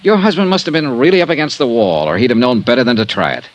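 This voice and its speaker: An actor playing a cynical, hard-boiled insurance investigator with an American accent, dry and direct, in a 1950s radio detective drama. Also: male voice, medium pitch, expressive, fast, close to an everyday voice. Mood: stern warning.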